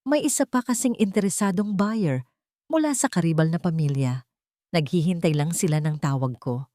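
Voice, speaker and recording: A woman's voice: fairly low pitch, animated, moderate pace, warm tone, no room sound, clean studio sound, natural voice. Woman voicing a polite, scheming Filipino salesman. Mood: pressuring. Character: smooth, pressuring male antique seller, a scheming don.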